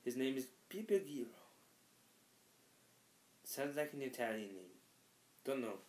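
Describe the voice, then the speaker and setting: Slow-talking young man in echoey room. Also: mid-range voice, animated, darkish tone. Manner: goofy, rambling, self-mocking, talks to viewers. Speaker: vlogger. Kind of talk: casual solo vlog. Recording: echoey room with faint background noise.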